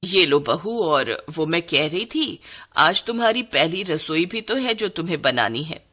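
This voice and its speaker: Woman acting a fretful scolding mother-in-law, low-pitched. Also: expressive, unhurried, bright, forceful tone, full character voice. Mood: coaxing. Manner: scolding, dramatic exclamations. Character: exasperated middle-aged Indian mother-in-law, scolding and fretful.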